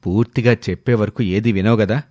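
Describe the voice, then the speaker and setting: A man voicing a mildly exasperated, news-bearing husband in an animated moral story. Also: fairly low pitch, a little fast, no echo, clean studio sound, pitched below the performer's own voice, lightly acted.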